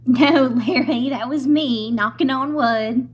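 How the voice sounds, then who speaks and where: A woman's voice: high-pitched, slow, husky, exaggerated voice. A woman playing a nervous, easily spooked young wife in an old-time radio comedy, anxious and wryly pleading. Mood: indignant.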